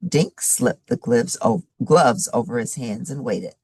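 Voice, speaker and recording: A woman reading a children's chapter book aloud in an animated, expressive storytelling manner. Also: very deep voice, a little slow, breathy edge, no room sound. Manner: animated storytelling with varied character voices. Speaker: story reader.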